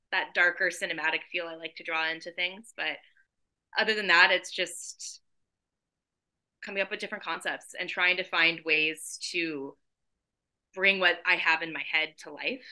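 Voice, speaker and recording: A woman's voice: fairly low pitch, moderate pace, low-quality recording. A woman in her forties, a photographer and guest, talking in a chatty, enthusiastic way over a video call from her living room. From San Diego, California. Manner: chatty, enthusiastic, animated hand gestures. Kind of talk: casual interview on a video call.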